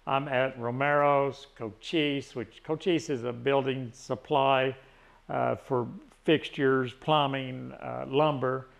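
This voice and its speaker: Older man, Tennessee drawl, gravelly, rambling casual chat. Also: mid-range voice, fairly even intonation, takes it slowly. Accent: Tennessee Southern. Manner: rambling, frequent restarts and fillers. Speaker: retiree vlogger.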